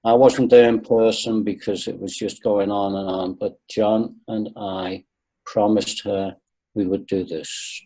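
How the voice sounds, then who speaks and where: A man's voice: lowish voice, level tone, a little slow, mellow, husky, low-quality recording. A man in his sixties, a guest on a webinar video call, talking earnestly and repeating points for emphasis. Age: 60s. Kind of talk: webinar conversation.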